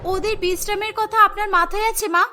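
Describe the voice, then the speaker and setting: A woman's voice: extremely high pitch, fairly even intonation, fairly quick, pushed and bright. A woman playing a wronged young daughter-in-law in a cartoon melodrama, plaintive and protesting. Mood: bitter protest. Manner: pleading, protesting. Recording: music bed behind the voice.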